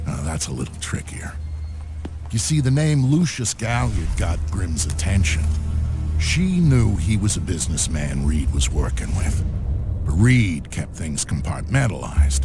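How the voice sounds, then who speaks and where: Game narrator: gruff, wry veteran soldier, low voice, conversational storytelling over music. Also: male voice. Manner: wry, conversational, rhetorical questions. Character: gruff cynical male narrator, seasoned military man retelling events. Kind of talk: scripted narration in a spy video game. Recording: music behind the voice. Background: soundtrack music.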